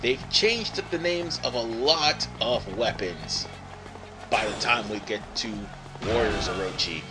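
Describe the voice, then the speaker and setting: A man's voice: medium pitch, lively intonation, measured pace. A tired man giving casual, rambling let's play commentary, often stopping to correct himself. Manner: rambling, digressive, self-correcting. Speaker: gaming video host. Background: video game music.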